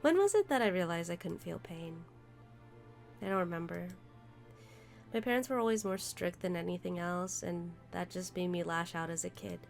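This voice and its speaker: Woman reading as a numb young man, detached, gravelly, congested, faint music behind. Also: on the low side, moderate pace. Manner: reads game text aloud flatly. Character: detached young man unable to feel pain.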